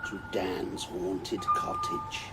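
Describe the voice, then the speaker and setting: Spooky haunted-house host, very deep and drawn out; man doing the voice, noisy distant sound. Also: wildly swooping pitch, exaggerated voice. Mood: eerie. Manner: slow, drawn-out spooky intro.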